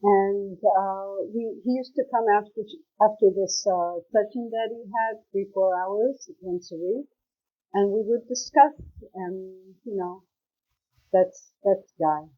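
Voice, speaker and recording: A woman from Israel being interviewed, speaking hesitantly with many pauses. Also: breathy edge. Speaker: guest, mother of a hostage. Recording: muffled low-quality call audio.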